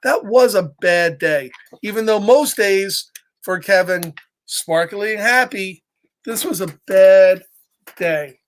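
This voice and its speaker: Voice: mid-range voice, takes it slowly. Storyteller reading a kids book, real casual, repeats stuff and keeps checking in with listeners. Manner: simple, repetitive, checking in with listeners. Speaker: children's storyteller. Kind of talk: casual picture-book read-aloud with asides to listeners.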